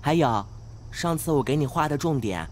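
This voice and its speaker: A man's voice: on the high side, animated, normal speed, slight background noise, close to an everyday voice. A young man acting a mild, earnest, bookish male student in a radio drama. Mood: mild, caring. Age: young adult.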